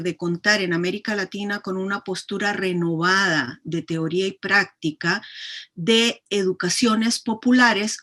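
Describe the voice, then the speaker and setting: A middle-aged woman from Chile, introducing herself in an online class and pausing often with hesitant fillers as she talks. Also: mid-range voice, fairly bright tone. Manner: thoughtful, many hesitations. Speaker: course participant.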